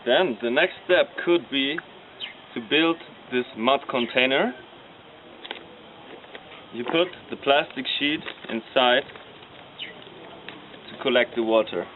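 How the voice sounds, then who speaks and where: A man from Germany talks unhurriedly through a small model, pausing on fillers as he explains each part. His voice sits at an average pitch. The recording is thin and phone-like, with a faint hiss underneath. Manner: plain explanatory, halting with fillers. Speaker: design team member. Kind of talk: demonstrating a model. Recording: tinny lo-fi with faint noise.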